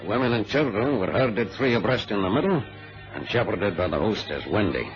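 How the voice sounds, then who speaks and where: An actor playing a sensible, loyal adventurer and narrator in an old radio drama, speaking in a measured, old-fashioned way. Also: male voice, fairly low pitch, animated, faint music behind, lightly acted. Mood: grave narrating. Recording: muffled, noisy vintage radio recording.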